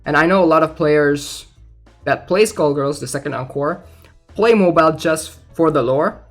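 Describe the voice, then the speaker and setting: A young man with a slightly high voice talks like a gaming YouTuber going over news. His delivery is informal and a little hesitant, as if working out his thoughts aloud. Faint music plays behind him. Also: moderate pace. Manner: informal, hesitant, thinking out loud. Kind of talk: casual game news commentary, partly reading aloud.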